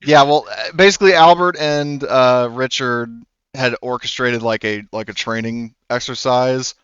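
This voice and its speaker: A man acting as game master in a tabletop game, talking with frequent pauses and fillers. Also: medium pitch, fairly even intonation, a bit bright, smooth, no echo, dull audio. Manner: hesitant, lots of fillers and restarts.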